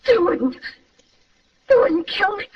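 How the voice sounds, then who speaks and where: An actress playing a frightened but defiant gangster's accomplice in an old radio crime drama, highly emotional. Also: female voice, sing-song, slow, dull audio, a crowd in the background, heavily acted. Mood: terrified pleading. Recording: distant, noisy, band-limited vintage radio recording.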